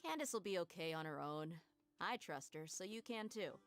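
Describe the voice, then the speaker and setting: A worldly young woman mercenary as an actress plays her with a notably low voice. She is cool, confident and matter-of-fact in a video game style, with faint music behind her. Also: animated, normal speed, lightly acted. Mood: brisk, assured. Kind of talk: video game dialogue acting.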